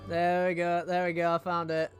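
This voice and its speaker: A British man with a fairly high-pitched voice hosts a live stream. He talks casually to his group over light game music. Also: monotone, moderate pace.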